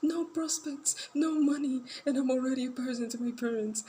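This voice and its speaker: A woman putting on a high, gloomy lamenting young woman. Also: normal speed, dark tone, a little hiss or hum, natural voice. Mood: mock despair. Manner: theatrical high-pitched mock despair.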